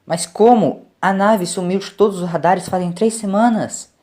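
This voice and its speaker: A man putting on an astonished high-pitched woman. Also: normal speed, dark tone, lightly acted. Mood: stunned, relieved. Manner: high, exclaiming. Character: astonished woman doctor, emotional, relieved.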